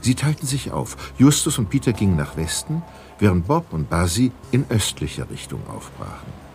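An actor narrating a detective mystery as a calm storyteller in a scripted German audio drama. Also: male voice, on the low side, normal speed, a little hiss or hum. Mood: calm suspense. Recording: music clearly behind the voice.

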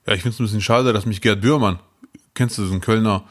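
A man's voice: on the low side, fairly even intonation, fast talker. A man co-hosting a podcast in casual chat, dropping dry jokes and short agreeing replies. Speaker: podcast co-host.

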